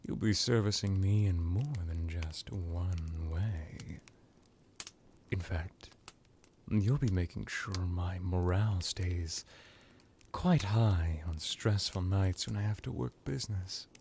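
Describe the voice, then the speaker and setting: Man acting as an ancient seductive vampire lord, low and unhurried. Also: darkish tone, slight background noise. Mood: possessive, teasing. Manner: slow, theatrical, drifting into whispers. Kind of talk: ASMR roleplay monologue.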